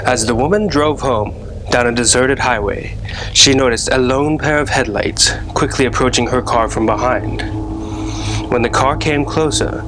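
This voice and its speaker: A man narrating a scary story in a deliberate, theatrical storyteller's manner, addressing his listeners as host. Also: medium pitch, noisy background.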